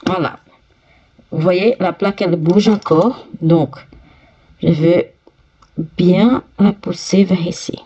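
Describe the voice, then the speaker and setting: A woman with a cold, her voice stuffy, slowly talking viewers through each step of fitting a part on a sewing machine. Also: fairly low pitch, mellow, husky, dull audio. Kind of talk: step-by-step workshop demonstration.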